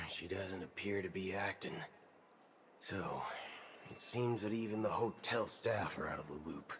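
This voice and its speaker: A man's voice: low voice, measured pace, noisy background. A man voicing a cocky, scheming robot cowboy drifter with a drawl and comic mock-swearing in a video game. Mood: pensive. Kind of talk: video game dialogue acting.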